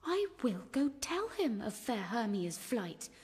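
Spurned young noblewoman, British, lovelorn Shakespearean verse, slow and very expressive. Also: fairly high pitch. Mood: aggrieved, resolved. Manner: theatrical, measured verse delivery. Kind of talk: Shakespearean radio drama soliloquy. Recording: long hall-like reverb.

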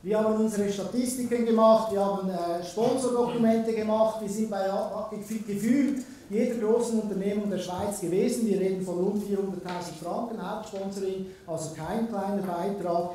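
Man with swiss german accent, keynote speaker, mellow voice, recorded from afar in a very echoey hall. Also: sits high, far from the mic, slight background noise. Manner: conversational, frequent filler sounds. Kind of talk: conference keynote talk. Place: large conference hall. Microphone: distant room recording.

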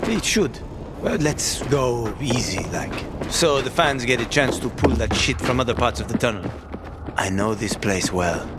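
An actor playing a gruff older male survivor and guide in a video game, wry, cocky and profane. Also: mid-range voice, expressive, measured pace, very noisy, close to an everyday voice. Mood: wry, bantering. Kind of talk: video game dialogue acting.

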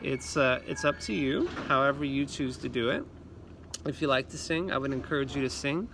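A man's voice: medium pitch, moderate pace. A man in his thirties talking to students as a music teacher on an instructional video, explaining simply and encouragingly, recorded outdoors. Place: outdoors in a leafy yard. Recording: outdoor recording with clear ambient noise.

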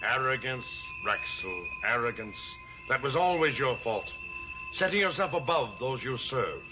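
An actor playing a grave, mysterious older gentleman with measured speech in a gothic television soap. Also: mid-range voice, lively intonation, lightly acted, rough recording. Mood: stern, condescending. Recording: old TV soundtrack, dramatic music underneath.

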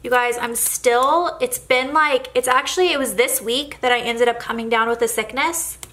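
A woman's voice: on the high side, moderate pace, a bit bright. A young woman vlogger with a congested, nasal voice from a cold, chatting casually with lots of fillers while narrating a planner session. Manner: chatty, rambling with many fillers.

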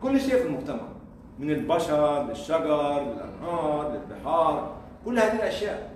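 Egyptian guy lecturing quick, keeps asking rhetorical questions, echoey room, mic far off, faint noise. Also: fairly high pitch, big pitch swings, a little mellow. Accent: Egyptian Arabic. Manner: rhetorical questions, repeats himself, chuckles. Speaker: guest lecturer. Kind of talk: informal university lecture.

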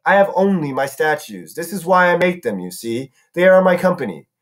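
Male reader voicing an old woman: sweet, lonely, quietly menacing; soft coaxing delivery, formal phrasing. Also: on the high side, big pitch swings, moderate pace. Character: sweetly sinister old woman, lonely garden statue maker.

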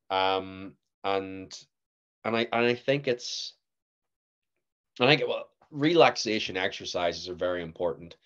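A young man with a Northern Irish accent, a guest actor telling stories in a casual podcast chat, animated and laughing often. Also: low voice, lo-fi sound. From Northern Ireland.

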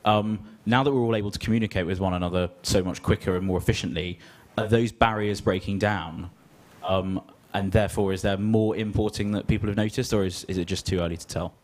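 A man in a lecture audience asking a measured, articulate question into a handheld microphone. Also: lowish voice, steady tone. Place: large lecture theatre audience.

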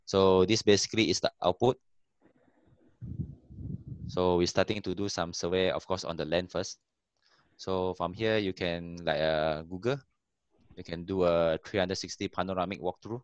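Man presenting over a video call, very low voice, dry sound, stops to hunt for words. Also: fairly even intonation, clear, resonant tone, dry recording, lo-fi sound. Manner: halting, searches for words. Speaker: cave explorer presenting. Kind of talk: casual online presentation.

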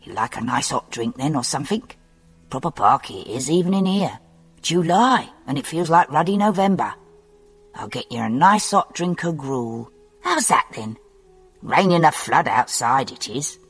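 A woman's voice: low-pitched, lively intonation, moderate pace, husky, exaggerated voice. A woman voicing a cheerful, patient male hospital orderly, chatty and good-humoured in an audiobook. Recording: long reverb with faint background music.

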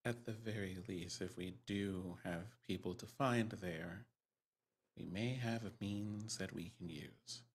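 A man improvising in character in a tabletop roleplaying game over a video call, using formal, measured wording. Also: low voice, slightly rough. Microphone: close mic on a video call.

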